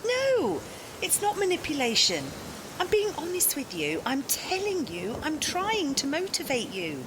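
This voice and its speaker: High, animated, demanding girlfriend played by a woman. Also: unhurried, noisy background, exaggerated voice. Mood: insistent, defensive. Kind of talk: audio drama dialogue.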